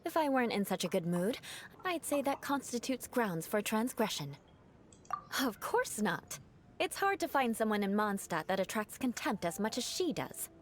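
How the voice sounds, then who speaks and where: A woman's voice: on the high side, very animated, moderate pace, slight background noise. An actress playing a proud, aloof aristocratic female knight who speaks formally with dry, haughty teasing, in video game dialogue.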